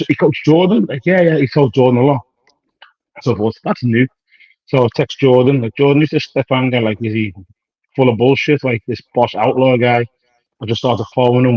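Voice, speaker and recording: A man's voice: medium pitch, a little mellow, no room sound. Male bodybuilder, 30s, loose slangy rambler who re-enacts dialogue; dull, dry capture. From United Kingdom. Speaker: professional bodybuilder guest. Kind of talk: casual interview chat.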